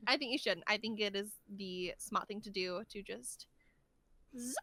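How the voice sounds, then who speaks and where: A woman's voice: medium pitch, normal speed, pushed and bright. A woman chatting casually as a podcast guest, playful and giggly, often rambling and hesitating as she works out what to say. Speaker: voice actor guest being interviewed.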